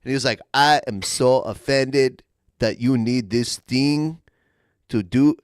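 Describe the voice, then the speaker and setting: A man hosting a podcast, chatting casually and excitedly with frequent laughs. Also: medium pitch, slow, no room sound, clean studio sound. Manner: excitable, laughing, swears freely. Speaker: podcast host. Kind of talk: casual banter.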